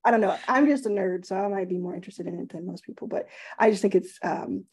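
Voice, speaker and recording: A woman's voice: medium pitch, fast, a little mellow, slightly rough. A middle-aged woman with a Texan accent hosting a casual video call interview, curious and enthusiastic. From Texas. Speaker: interview host. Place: home room indoors. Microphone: webcam, video call.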